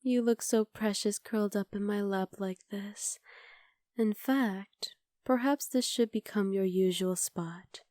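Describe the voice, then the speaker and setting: A woman voicing a scheming, possessive witch in an ASMR roleplay, speaking softly and often half-whispering close to the mic. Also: medium pitch, a little slow, no room sound, lightly acted. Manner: soft, intimate, coolly calculating, often half-whispered. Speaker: voice actor.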